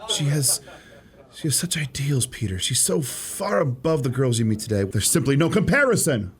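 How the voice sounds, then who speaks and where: An actor playing an earnest, romantic and easily exasperated shop clerk in a radio play. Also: male voice, medium pitch, very animated, normal speed, natural voice. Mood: shy, smitten. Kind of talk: radio theatre acting.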